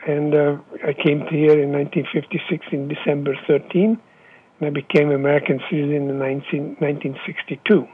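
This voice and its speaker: Brief: man in his 70s, Hungarian accent, slightly high, husky, many hesitant fillers, lo-fi phone audio. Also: steady tone. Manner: halting, many filler pauses. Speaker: interviewee, former Olympic fencer. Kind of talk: oral history interview. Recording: dull lo-fi phone line.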